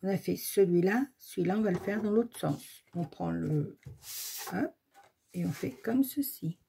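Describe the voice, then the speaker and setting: A woman's voice: lowish voice, lively intonation, unhurried, gravelly, mellow, slight background noise. A woman with a slight cold, chatting casually while she demonstrates a paper craft in her home workshop. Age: 40s to 60s, has a granddaughter. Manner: chatty, thinks aloud, frequent "hop" and "voilà". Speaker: crafts tutorial host. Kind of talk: casual step-by-step craft tutorial.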